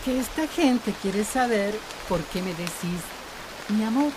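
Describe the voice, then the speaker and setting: A woman's voice: medium pitch, animated, a little slow, background noise, lightly acted. An actress playing a tender, joyful village woman in love in a radio soap, with a Costa Rican accent. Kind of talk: radio soap opera acting.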